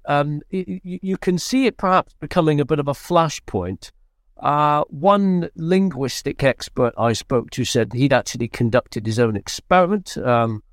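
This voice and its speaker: A British man giving unscripted analysis as a correspondent in an interview, speaking in a measured, hesitant way with frequent restarts. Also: mid-range voice, dry recording, clean studio sound. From Britain. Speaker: foreign correspondent, interview guest.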